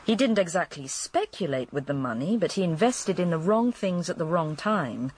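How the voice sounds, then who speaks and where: An actress playing a candid, world-weary English widow in a radio drama, confiding and matter-of-fact. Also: female voice, lowish voice, lively intonation, moderate pace, darkish tone, slightly rough. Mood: confiding, resigned.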